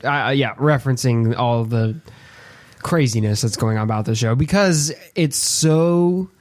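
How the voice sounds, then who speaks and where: A man's voice: mid-range voice, measured pace, a little mellow, dry recording. A man co-hosting a podcast, chatting casually and enthusiastically as a fan in an easygoing way. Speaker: podcast co-host.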